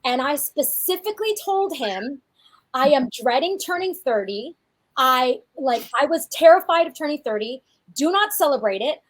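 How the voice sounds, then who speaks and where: A woman in her forties, a fitness coach co-hosting a podcast, talking in a chatty, animated way with frequent restarts. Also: sits high, moderate pace, rough recording. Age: 40s. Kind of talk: casual podcast chat.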